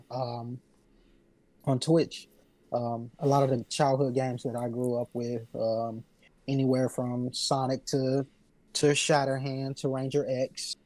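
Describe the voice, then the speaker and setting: A man talking casually as a podcast panelist, halting and pausing between short phrases. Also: medium pitch, level tone, a little mellow, dry recording, rough recording. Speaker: podcast panelist, music producer. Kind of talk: casual chat.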